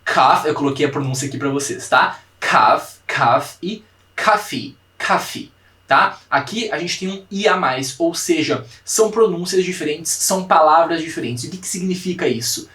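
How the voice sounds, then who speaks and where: A young Brazilian man gives an online language lesson, his voice pitched a little high for a man. He repeats practice words slowly and keeps asking his students whether they follow. The sound is roomy and echoey, as if he stands some way from the mic. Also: lively intonation, sharp tone, distant mic. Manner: drills pronunciation by repeating words slowly, checks in with "tá, gente?". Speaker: language teacher. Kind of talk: online class lecture.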